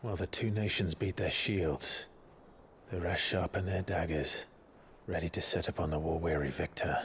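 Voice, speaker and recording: A man with an English accent playing a brooding, resolute rebel leader in a fantasy game, grave and measured. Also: deep voice, fairly even intonation, a little hiss or hum. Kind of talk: dramatic fantasy game acting. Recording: loud music behind the voice.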